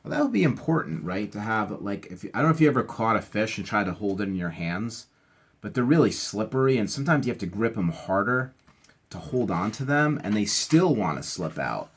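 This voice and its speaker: A man's voice: on the low side, sing-song, a little fast, slightly rough. A man reading a picture book aloud, adding casual, enthusiastic explanations and asking the listener questions. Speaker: teacher reading aloud to children.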